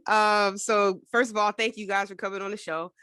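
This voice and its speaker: A woman hosting an interview podcast, talking in an upbeat, casual and lively way. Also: medium pitch, normal speed, crowd noise. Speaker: podcast host. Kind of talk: conversational interview.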